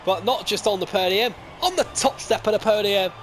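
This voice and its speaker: A man giving live play-by-play commentary on a sim racing league. He gets excited, jumps up at crashes and overtakes, and wanders into rambling asides and self-corrections. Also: high-pitched, brisk, slight background noise.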